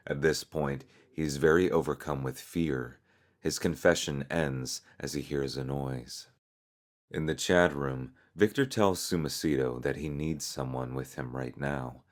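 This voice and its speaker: A man reading scripted story narration as a narrator. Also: very deep voice.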